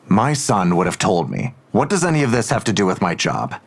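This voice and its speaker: Defiant cop, low, creaky, animated intonation, sardonic, audio drama. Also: male voice, brisk, full character voice. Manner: curt, sardonic. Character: defiant, defensive policeman and father.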